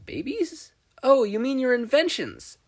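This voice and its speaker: A narrator acts out a shy teenage boy in an audio fan story. He is polite, hesitant and eager to do well, with an animated, expressive pitch and a breathy, rough-edged tone. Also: unhurried, full character voice. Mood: flustered, curious. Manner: hesitant, apologetic, earnest.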